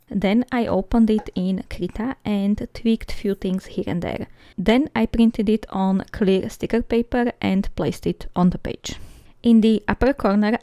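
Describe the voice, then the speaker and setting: A woman's voice: mid-range voice, warm tone, no echo, clean studio sound. A woman narrating a journaling vlog in a chatty, giggly way, often laughing lightly between sentences. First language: Slovak. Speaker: journaling YouTuber. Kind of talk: voice-over narration of a craft video.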